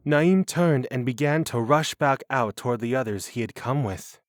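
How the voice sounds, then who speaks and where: A man's voice: medium pitch, normal speed, dry recording, clean studio sound. A man reading scripted narration for a fantasy audio drama.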